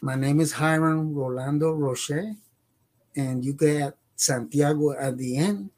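A man in his sixties with a Puerto Rican accent, a native Spanish speaker, telling his life story haltingly as a podcast guest. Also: a little high, darkish tone. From Puerto Rico. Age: 60s. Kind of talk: personal life-story interview.

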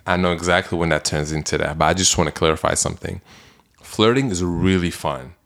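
A man's voice: low-pitched, brisk, gravelly. A young man from Belgium, co-hosting a casual podcast chat and telling stories with acted-out dialogue. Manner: animated storyteller, acts out other people's lines. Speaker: podcast co-host.

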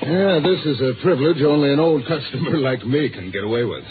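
An actor playing a sly middle-aged office rival in a 1950s radio drama, needling someone with smooth false friendliness. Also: male voice, medium pitch, fairly quick, lightly acted. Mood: falsely jovial. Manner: smooth feigned friendliness, taunting insinuation. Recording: tinny old radio broadcast with music.

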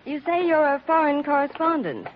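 An actress playing a polite, helpful young foundation worker in a 1940s radio drama, with an American accent and proper diction. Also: female voice, high-pitched, animated, moderate pace, slight background noise. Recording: muffled 1940s radio recording.

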